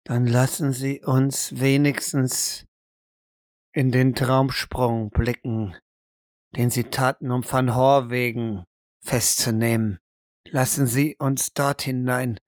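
Someone acting as stern interrogator in an audio drama, insistent, emphatic, mid pitch, dry recording. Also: little pitch movement, darkish tone, no echo, close to an everyday voice. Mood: insistent, grave. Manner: deliberate, emphatic, pressing.

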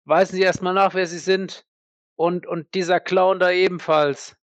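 A man playing a confused, defiant captive army captain in an audio roleplay, halting, guarded and distrustful. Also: sits high, little pitch movement, smooth, no echo, dull audio, voice pushed up, natural voice.

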